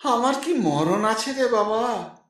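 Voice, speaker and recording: A man's voice: high-pitched, animated, takes it slowly, a bit bright, heavily acted. A man voicing a poor, toothless old village woman, doting and timid, in an audio story. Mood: doting, plaintive. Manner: affectionate rustic endearments, coaxing. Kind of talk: audiobook character voice. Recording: very echoey, slightly distant microphone.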